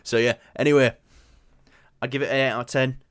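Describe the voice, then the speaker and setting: A man's voice: medium pitch, steady tone, a little fast, no room sound, studio quality. A young man with a Yorkshire accent co-hosting a movie review show, chatting casually with lots of fillers and restarts. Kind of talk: casual chat.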